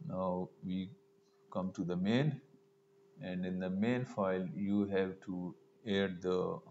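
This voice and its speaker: Male tutorial presenter, slow and halting, thinking aloud through each step, lo-fi mic. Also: deep voice, fairly even intonation, darkish tone. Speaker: programming instructor. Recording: dull lo-fi mic with noise.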